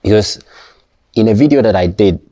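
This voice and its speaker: A man with a deep voice and a mellow, dark tone, speaking English as a second language in an unscripted vlog. He talks in fits and starts, restarting his sentences and checking that the listener follows. The recording is dry, with almost no room sound. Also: dry recording. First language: not English. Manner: halting, restarts often, asks "you understand?". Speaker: vlogger. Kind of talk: casual personal talk to camera.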